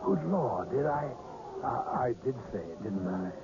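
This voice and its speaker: A man's voice: medium pitch, big pitch swings, a little slow. An actor in a radio drama playing a polite, mysterious older man with a genteel, precise, faintly hesitant manner. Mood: apologetic, assuring. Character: polite, mysterious old scout of seers. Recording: dull, noisy old radio broadcast.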